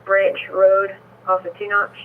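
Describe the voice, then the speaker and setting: Phone-like radio sound, police dispatcher, monotone, high pitch, reading out details. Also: measured pace. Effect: two-way police radio. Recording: tinny narrowband police scanner feed.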